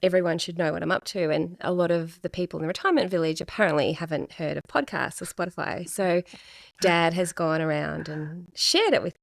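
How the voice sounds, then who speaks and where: A middle-aged woman, talking casually and reflectively as a podcast guest, pausing often. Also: lowish voice, creaky, no echo, studio quality. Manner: soft-spoken, reflective, with many pauses and fillers. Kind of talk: casual chat.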